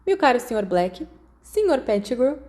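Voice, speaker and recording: A woman's voice: on the high side, big pitch swings, normal speed, a little mellow, pitched above the performer's own voice. A woman voicing a mischievous schoolboy ringleader with a playfully pompous, mock-formal manner, in an audiobook. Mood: mock solemn.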